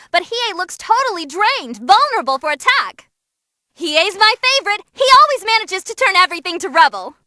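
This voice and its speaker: A woman voicing an excitable, cheeky young female tournament announcer in a video game, with theatrical, exclamatory commentary. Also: extremely high pitch, no room sound, clean studio sound, full character voice. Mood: impressed, urgent, then cheeky, teasing.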